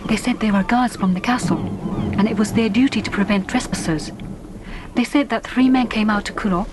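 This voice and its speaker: A woman playing a gentle, devoted young Japanese islander in a radio drama, earnest and formal in her phrasing. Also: medium pitch, fairly quick, noisy background, natural voice. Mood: grave, concerned. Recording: music bed under voice. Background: orchestral film score.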